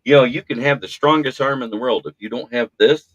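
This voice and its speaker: A man's voice: mid-range voice, fairly quick, dry recording, lo-fi sound. Man with a practiced radio voice. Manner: self-deprecating, animated storyteller with a practiced radio voice. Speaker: podcast host. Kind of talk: casual sports chat. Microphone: podcast mic.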